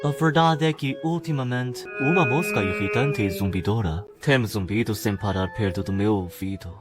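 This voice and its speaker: A man's voice: lowish voice, moderate pace, close to an everyday voice. A man playing a cocky, scheming young writer in a cultivation world, talking to himself in a dramatic motion-comic monologue. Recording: music clearly behind voice.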